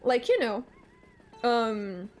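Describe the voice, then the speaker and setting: A young woman with a French Canadian accent, whose first language is French, chatting casually and excitably while streaming a game, swearing freely and humming to herself. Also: fairly high pitch, drawn-out words. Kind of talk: casual live-stream chat while gaming. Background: faint game music.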